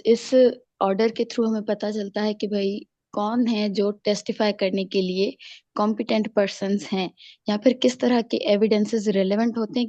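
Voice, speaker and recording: A woman's voice: mid-range voice, dark tone. A woman explaining as a class participant on an online call, mixing in English terms. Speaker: class participant answering a question. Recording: dull, roomy online call audio.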